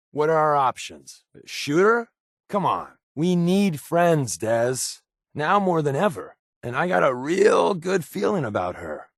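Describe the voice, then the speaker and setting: An actor voicing a sly, sardonic undercover agent as a video game companion, glib and conversational with dry sarcasm. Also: male voice, fairly high pitch, no room sound, heavily acted. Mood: earnest, persuasive.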